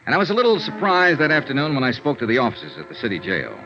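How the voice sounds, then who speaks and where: Hard-boiled American investigator, quick and clipped, lively pitch, old radio drama. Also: male voice. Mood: dry narration. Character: brisk, assured male insurance investigator, wry and hard-boiled. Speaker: actor in a radio detective drama. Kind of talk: 1950s radio acting, first-person detective narration and dialogue. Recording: dull vintage radio recording, music behind.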